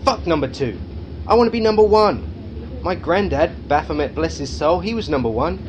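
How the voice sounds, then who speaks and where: An actor playing a crude, megalomaniac British arms company boss in a satirical audio drama, domineering, sarcastic and sweary. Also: male voice, on the high side, expressive, normal speed, lo-fi sound, slight background noise, natural voice. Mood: bitter, ranting.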